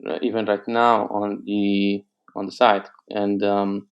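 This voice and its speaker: Italian man with a gravelly, low voice. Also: little pitch movement, slow, highs cut off. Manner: hesitant, many fillers and restarts. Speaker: guest entrepreneur. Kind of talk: casual interview talk.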